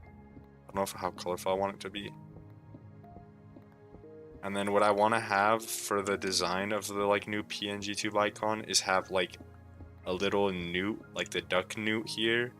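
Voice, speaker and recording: Young male streamer, worn out and a bit sore-throated; very low, crisp voice; drawling, filler-heavy chat. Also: level tone, normal speed, long pauses, bright, forceful tone, soft background music. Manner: laid-back, low and mumbly, lots of "like" fillers. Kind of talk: casual rambling stream talk.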